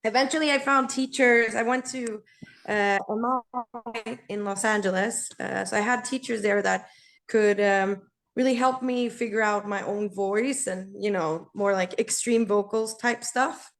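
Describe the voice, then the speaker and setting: Female guest singer, naturally raspy, easygoing and talkative. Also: mid-range voice, fairly even intonation, unhurried, fairly bright tone. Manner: laughs easily, rambling and friendly. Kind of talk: casual interview chat.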